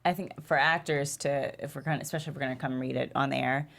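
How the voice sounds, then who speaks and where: Female panelist, deep for a woman, plenty of vocal fry, conversational. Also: steady tone, rapid-fire, fairly bright tone. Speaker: actor and writer on a panel. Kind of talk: casual panel discussion.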